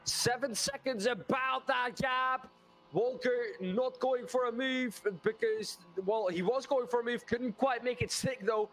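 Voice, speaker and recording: A man's voice: very high voice, fairly bright tone, a little hiss or hum. A man doing live commentary on a sim race, chatty and putting questions to his co-commentator.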